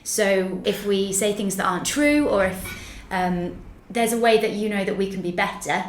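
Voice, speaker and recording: A woman co-hosts a casual podcast in a chatty, joking way, at an everyday middle pitch. The small office around her is echoey. Faint street noise sits in the background. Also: normal speed. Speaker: podcast co-host. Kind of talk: casual chat.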